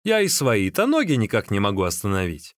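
A man's voice: fairly low pitch, huge pitch swings, a little fast, no echo, studio quality, voice pushed down. A man voicing a sly storybook sorcerer who scolds and teases with mock earnestness, feigning alarm. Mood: mock puzzled. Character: sly teasing male sorcerer, feigning alarm to trick his companion.

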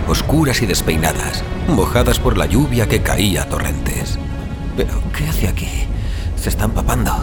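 Deep-voiced male dramatic audiobook narrator. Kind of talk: scripted dramatic literary narration. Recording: clear music bed behind narration.

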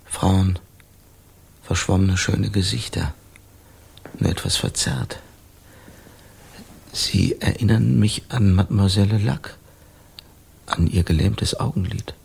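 Husky, anguished amnesiac man. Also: deep voice, a little mellow, heavily acted. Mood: haunted. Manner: formal, halting, agitated. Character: anguished amnesiac Parisian man, fearing he committed a crime. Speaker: actor in a radio crime drama. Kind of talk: German radio play acting.

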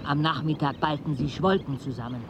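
A travelling storyteller in a radio drama, with a slightly high voice. He recounts an expedition as narrator while loud storm and water effects surround him. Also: steady tone, brisk, vocal fry, very noisy. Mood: grave, narrative.

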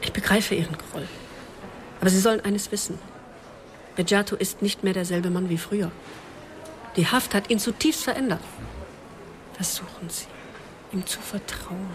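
An actress playing a polite, earnest charity volunteer who pleads gently in a radio drama. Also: female voice, medium pitch, normal speed, long pauses, a little hiss or hum, natural voice. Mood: gently pleading.

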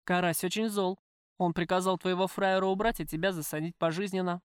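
A woman voicing a cool, cynical male gangster in an audiobook, with a flat, slangy, offhand manner. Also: fairly low pitch, brisk, clear, resonant tone, dry recording, clean studio sound, lightly acted. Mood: cool menace.

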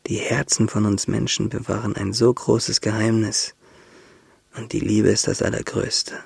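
A husky, gravelly shipwrecked young seaman. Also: lowish voice, flat delivery, normal speed, dry recording, full character voice. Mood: mournful, tender. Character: shipwrecked young seaman, swimming in darkness, wistful, tender. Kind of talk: radio drama monologue.

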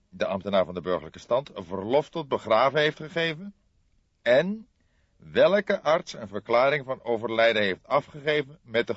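A man's voice: fairly low pitch, breathy edge, dry recording, dull audio, pitched above the performer's own voice, full character voice. A man voicing a grey-haired old police detective in an audiobook, authoritative and emphatic, with dry humour.

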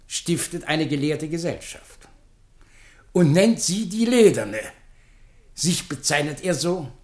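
A sarcastic, self-important defence advocate in a radio drama. His pleading swings dramatically in pitch, from hushed to shouted, in declamatory oratory. The room around him echoes noticeably. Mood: scornful. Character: theatrical, indignant, sarcastic male defence lawyer pleading in court. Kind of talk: theatrical German radio play oratory.

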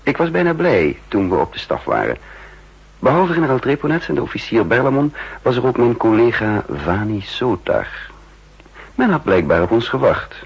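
A man narrating in the first person in a 1960s radio spy drama, measured and guarded. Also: mid-range voice, big pitch swings, vocal fry, slightly rough. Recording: dull lo-fi 1960s radio recording.

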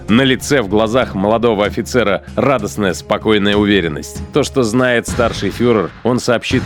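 An actor playing a cold, fanatical, contemptuous Nazi SS commander in the interior monologue of a radio play. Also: male voice, on the low side, lightly acted. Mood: proud, resolute. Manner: self-assured, declamatory, scornful. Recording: studio radio drama with music behind. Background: music.

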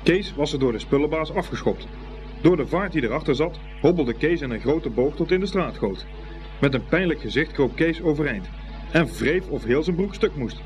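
A man's voice: on the high side, animated, normal speed, music in the background, poor audio. A man reading scripted storybook narration as the narrator of a radio play.

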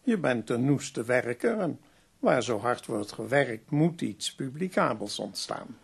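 The polite, canny visiting publisher of a period radio play, husky and unhurried as he does business at a bedside. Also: mid-range voice, lively intonation, full character voice. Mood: shrewd, firm. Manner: measured, businesslike. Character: courteous, shrewd male publisher visiting sick author. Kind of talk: radio drama narration and dialogue.

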